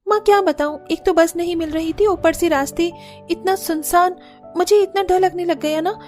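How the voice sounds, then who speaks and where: A woman's voice: very high voice, steady tone, normal speed, darkish tone, soft background music, pitched above the performer's own voice. A woman voicing a young student sister-in-law who whines and pleads in a cartoon family story. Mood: upset, complaining. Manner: whiny, complaining. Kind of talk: Hindi cartoon story dialogue.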